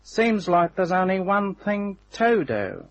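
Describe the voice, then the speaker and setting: An actor playing a menacing, smug working-class hired gunman in a radio crime drama, with slangy, taunting, unhurried talk. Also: sits high, sing-song, full character voice. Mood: cold menace. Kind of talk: old-time radio crime drama acting. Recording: dull, band-limited old radio recording.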